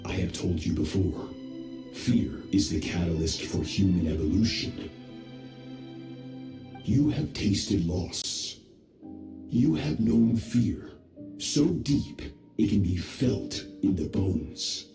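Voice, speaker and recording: An actor playing a cold, menacing ancient master of the abyss, speaking slowly and gravely. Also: male voice, low-pitched, lively intonation, full character voice. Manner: slow, grave, threatening delivery. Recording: echoey game audio with music.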